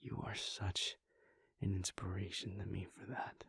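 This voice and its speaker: A man voicing a weary, devoted male vampire prince in a soft, intimate whisper, speaking in slow, halting, heartfelt confessions. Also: sits low, little pitch movement, vocal fry, breathy edge, full character voice. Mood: tender, hushed. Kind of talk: whispered audio roleplay.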